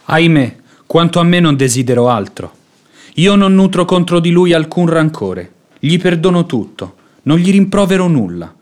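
An actor playing a sanctimonious, scheming devout beggar in a radio drama, speaking in a measured, unctuous, self-sacrificing way. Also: male voice, fairly high pitch, big pitch swings. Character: sanctimonious hypocritical male devout beggar, falsely humble and scheming. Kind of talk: theatrical Italian radio drama acting.

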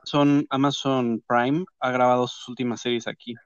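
A man with a Mexican accent hosting a casual chat and interviewing guests, slangy and talkative with quick asides. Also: mid-range voice, little pitch movement, dry recording, muffled recording. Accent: Mexican Spanish. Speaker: host.

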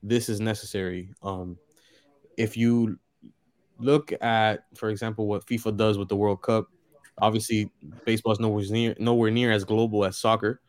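Dominican podcast co-host, male, smooth and slightly low voice, dry close sound, earnest delivery. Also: flat delivery, normal speed, no room sound. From Dominican Republic. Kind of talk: casual sports podcast chat.

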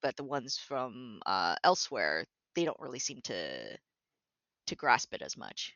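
A woman talks in an animated, swooping voice, often stopping and restarting her sentences. Her audio sounds dull and muffled, with a dry, close feel. She speaks as a guest in a relaxed interview. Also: very deep voice, measured pace, dry recording. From Canada. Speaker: concept artist, guest. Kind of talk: conversational interview.

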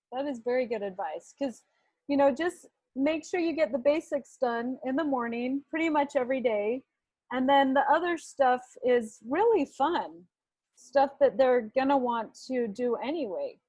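A woman interviewer, herself a homeschooling mother, speaks softly with many fillers. Her voice is somewhat high and breathy. The recording is dry and close. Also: animated, measured pace, no room sound. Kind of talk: casual conversation.

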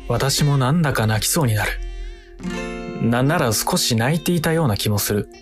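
A man's voice: mid-range voice, expressive, normal speed, close to an everyday voice. Guy acting as serious overthinker narrating his life in a radio play, formal wordy phrasing, mid voice, music behind. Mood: wistful, earnest. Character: earnest, brooding man who overthinks everyday meals. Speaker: narrator and protagonist. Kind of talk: scripted first-person radio drama narration.

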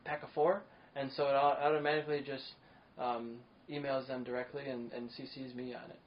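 Casting: talkative guy of medium pitch hosting a podcast, eager, lots of ums, dull lo-fi sound. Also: flat delivery, fairly quick. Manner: talkative, enthusiastic, rambling with fillers. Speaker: podcast host. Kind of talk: casual interview chat. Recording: dull lo-fi sound, faint noise.

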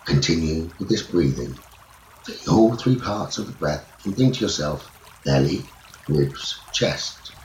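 A man leading a guided sleep meditation as a teacher, speaking in soothing, measured short phrases. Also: deep voice, animated, darkish tone. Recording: roomy echo, running water noise behind. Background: trickling stream water nature sounds.

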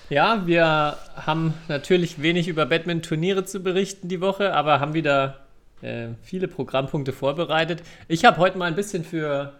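A man's voice: fairly high pitch, moderate pace, fairly bright tone. A man hosting a podcast, chatting casually about sport. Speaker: podcast host. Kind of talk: casual chat on a badminton podcast.

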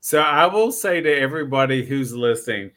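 A man's voice: medium pitch, lively intonation, fairly quick, a bit bright. A man hosting a podcast as a casual chat, effusive and joking, often repeating his phrases. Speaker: podcast host.

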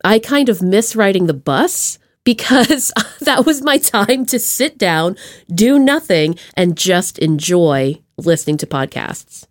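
A woman hosting a podcast in a casual chat, with a playful, slangy and expressive way of talking. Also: medium pitch, slow pace, no room sound, studio quality. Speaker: podcast host.

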